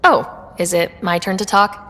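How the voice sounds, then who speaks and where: A woman's voice: fairly low pitch, normal speed, fairly bright tone, big-room sound, natural voice. A woman voicing a level-headed, friendly young space expedition leader in a video game, casual and inquisitive. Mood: curious, wry. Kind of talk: video game character dialogue.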